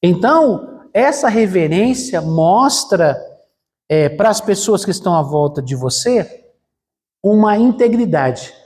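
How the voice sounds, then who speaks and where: A man's voice: high voice, sing-song, takes it slowly, darkish tone. A man preaching a conversational sermon as a pastor in a church, explaining with frequent fillers and rhetorical questions.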